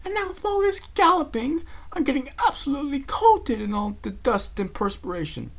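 Un'altezzosa unicorna pony amante della moda, interpretata da un uomo, con una voce acutissima, affettata e sospirata, come in un audiolibro amatoriale. Il tono sale e scende in modo teatrale e i vocaboli sono scanditi con posa snob. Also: male voice, animated, moderate pace, heavily acted. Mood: breathless, complaining. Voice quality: high, breathy, affected posh manner. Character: prissy theatrical female unicorn pony, dramatic fashionista. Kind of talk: amateur audiobook reading of fan fiction.

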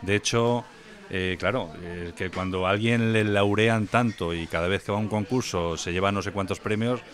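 A man's voice: fairly low pitch, normal speed. A man as a wine expert guest in a casual interview, knowledgeable and rambling as he describes a wine. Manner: knowledgeable, rambling, many fillers and restarts. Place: wine venue indoors.

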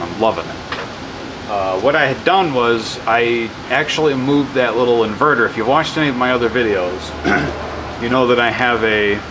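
Enthusiastic man over loud fan noise. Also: mid-range voice. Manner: enthusiastic, rambling, self-correcting. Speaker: hobbyist wind power vlogger. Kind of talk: casual off-the-cuff demo narration.

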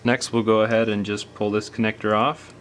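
A man's voice: on the low side, level tone, brisk, slight background noise. A man hosting a do-it-yourself tutorial, explaining each step in a plain, informal way as he demonstrates. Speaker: repair tutorial host. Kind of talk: casual step-by-step hands-on demonstration.

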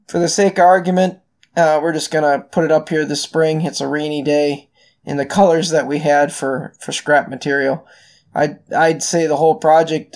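A man's voice: fairly high pitch, gravelly. Farm guy, middle-aged, laid-back diy narration, lots of ums. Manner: relaxed and folksy, many ums and restarts. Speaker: farm owner and channel host. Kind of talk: casual DIY project voice-over.

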